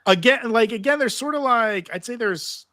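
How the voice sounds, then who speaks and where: A man with a bright, mid-pitched voice, recorded dry. He talks casually like a keen fan, with a halting delivery. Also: dry recording. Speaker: panel guest.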